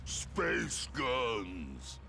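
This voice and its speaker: A man voicing a huge, slow-witted mutant monster in a video game, gruff and gravelly, speaking in short blunt broken phrases. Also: medium pitch, wildly swooping pitch, raised voice, noisy background, full character voice. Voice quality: gravelly, creaky. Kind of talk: cartoonish video game voice acting. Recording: music under the voice.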